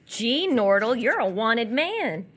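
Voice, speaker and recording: A woman's voice: on the high side, very animated, slow pace, fairly bright tone, full character voice. An actress in live radio theatre playing a sardonic, teasing young runaway woman. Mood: dry, knowing. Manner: teasing, wry.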